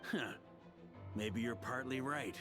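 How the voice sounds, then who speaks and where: An actor playing a weary but defiant veteran knight in a video game, with a wry, dramatic delivery. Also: male voice, medium pitch, slow pace, over music, natural voice. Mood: wry, composed.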